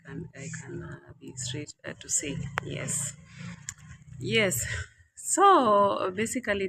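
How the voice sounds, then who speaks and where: A woman's voice: low-pitched, fairly bright tone, dry recording, low-quality recording. A woman in her thirties vlogging outside a small villa, reflecting aloud in a hesitant, rambling way, with many "uh" fillers and repeated phrases. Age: 30s. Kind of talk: reflective casual monologue.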